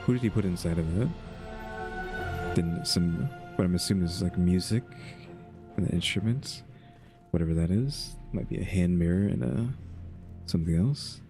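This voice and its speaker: A man's voice: deep voice, darkish tone. A man giving casual game commentary as a streamer, musing and asking questions aloud. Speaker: gaming streamer. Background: game music.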